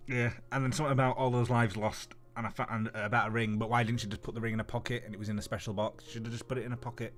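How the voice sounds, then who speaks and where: A man's voice: medium pitch, little pitch movement, rapid-fire, hardly any pauses, faint music behind. A man co-hosting a let's play, chatting casually and poking joking fun at the game. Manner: joking, mocking. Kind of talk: casual chat and gameplay commentary.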